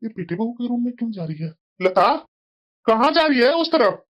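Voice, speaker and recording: A woman's voice: on the high side, sing-song, normal speed, muffled recording, background noise. A woman voicing a grumbling but sensible elderly husband who scolds and reasons in a family cartoon. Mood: disapproving. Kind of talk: Hindi family cartoon voice acting.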